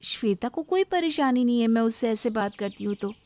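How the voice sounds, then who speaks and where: A woman's voice: on the high side, lively intonation, brisk, darkish tone, no echo, lightly acted. A woman voicing a carefree, defiant young daughter-in-law in a cartoon moral story, cheeky and unbothered. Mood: puzzled.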